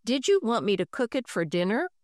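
A woman's voice: fairly high pitch, sing-song, brisk, no echo, studio quality, pitched above the performer's own voice. A woman reading aloud and voicing a patient, mildly worried mother in a storybook. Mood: wary. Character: patient, wary middle-aged mother.

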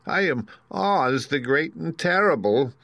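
A man's voice: medium pitch, moderate pace, pushed and bright, no echo, voice pushed up. A man voicing a meek, pleading little old fake wizard with a trembling voice, in a storybook reading. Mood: frightened, pleading.

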